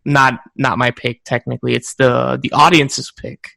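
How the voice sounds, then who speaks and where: A man hosts a podcast, leading each segment and playfully ribbing his co-hosts. His voice is gravelly with plenty of fry, recorded dry and close. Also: medium pitch, little pitch movement, normal speed, sharp tone, no echo. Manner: playful, teasing. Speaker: podcast host. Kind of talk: casual chat.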